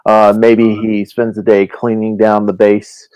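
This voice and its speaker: Man, lower pitch, clean dry sound, voice actor, casual online group chat. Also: completely flat delivery, unhurried, a little mellow, clear, resonant tone, no echo, low-quality recording. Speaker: voice actor on a panel. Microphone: online voice call.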